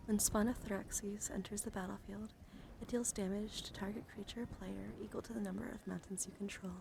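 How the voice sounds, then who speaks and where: A woman hosting an ASMR livestream, chatting casually and gently to viewers in a soft, breathy voice that often drops to a whisper, affectionate and attentive. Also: mid-range voice, fairly even intonation, a little fast, background noise. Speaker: livestream host.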